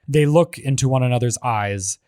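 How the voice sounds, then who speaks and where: A man narrating the scene descriptions of a screenplay in a measured, even reading. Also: medium pitch, no echo, clean studio sound. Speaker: narrator reading stage directions.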